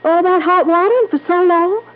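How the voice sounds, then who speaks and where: An actress playing an anxious, devoted wife in a 1950s radio drama, earnest and fretful. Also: female voice, extremely high pitch, a little fast. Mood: worried. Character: anxious, devoted wife, protective of her husband. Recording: narrow old radio recording with hum.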